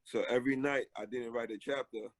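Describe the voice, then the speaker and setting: Man, 40s, interview guest on a call, laid-back storyteller, dry close sound, middle pitch. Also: fairly quick, dry recording. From Haiti, raised in Brooklyn, New York. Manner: relaxed, many filler ums, storytelling. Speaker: author guest. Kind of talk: casual interview talk. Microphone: video call.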